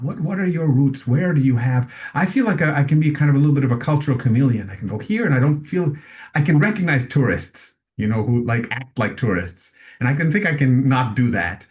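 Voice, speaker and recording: Halting, musing man at a session. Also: medium pitch, a little mellow. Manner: halting, many restarts and fillers; musing and philosophical. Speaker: session speaker. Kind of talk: reflective talk at an online session.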